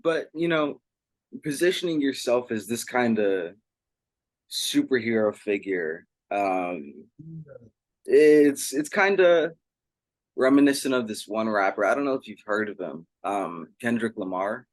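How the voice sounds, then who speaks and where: A man working as an interviewer, asking long, earnest questions in a measured conversational way. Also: medium pitch, lively intonation, no room sound.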